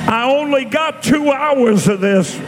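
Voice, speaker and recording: An American man in his sixties preaching a fiery Pentecostal revival sermon, shouting and exhorting with repeated phrases. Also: extremely high pitch, slow. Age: 60s. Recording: reverberant large hall, music behind.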